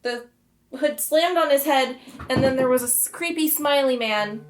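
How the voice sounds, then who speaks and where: A woman playing a nervous, shaken young girl in a tabletop game, speaking in a halting, uncertain way. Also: high voice, natural voice. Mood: rattled. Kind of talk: tabletop roleplay.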